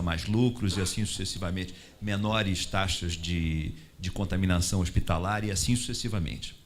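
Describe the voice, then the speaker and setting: A low-voiced man moderates a conference panel. He poses long, provocative questions, and a little room noise sits underneath. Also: a bit bright.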